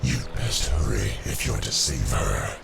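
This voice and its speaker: An extremely deep, gravelly sea-monster servant, deferential and formal. The creature talks slowly, as in a fantasy game cutscene with music behind it. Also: male voice, heavily acted. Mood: ominous, deferential. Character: monstrous Naga servant, dutiful scout reporting to master. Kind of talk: video game cutscene voice acting.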